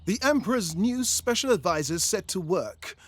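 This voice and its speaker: Guy narrating a cheeky fairytale, highish voice, really animated storybook delivery. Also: moderate pace. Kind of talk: expressive satirical storybook narration.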